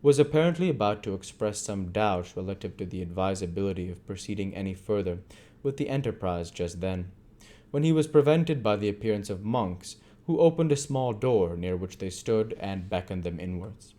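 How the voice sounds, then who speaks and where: A man's voice: lowish voice, lively intonation, moderate pace, warm tone. A man reading literary narration aloud as an amateur audiobook reader.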